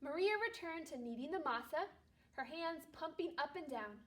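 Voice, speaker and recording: High-voiced woman teacher reading to children. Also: moderate pace, distant mic. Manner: animated, exclamatory, talks directly to young children. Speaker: second grade teacher. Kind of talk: children's storybook read-aloud.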